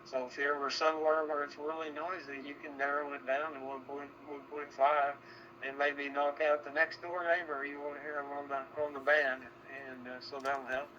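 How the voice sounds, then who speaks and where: A man giving halting, rambling technical chat as an amateur radio operator over digital radio. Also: a little high, flat delivery, pushed and bright. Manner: rambling, halting, thinking aloud. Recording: noisy narrow-band digital radio reception.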